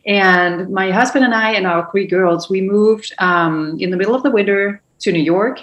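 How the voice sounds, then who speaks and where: A woman's voice: mid-range voice, moderate pace. A woman from Denmark, telling things conversationally as an interview guest, stressing her points by repeating words. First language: Danish. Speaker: interview guest, family mealtime expert. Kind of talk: conversational storytelling. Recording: roomy, echoing room sound.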